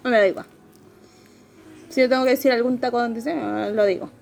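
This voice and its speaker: A middle-aged woman from Peru, with a Peruvian accent mixed with Spanish ones, telling stories in a casual interview, lively, swearing freely and laughing often. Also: on the high side, fairly quick, vocal fry, soft background music. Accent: Peruvian Spanish mixed with Andalusian and Madrid Spanish. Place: Seville, Spain.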